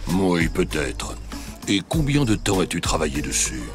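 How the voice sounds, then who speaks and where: An actor playing a gruff, skeptical townsman customer in a children's cartoon. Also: deep voice, sing-song, measured pace, over music. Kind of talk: French children's cartoon acting.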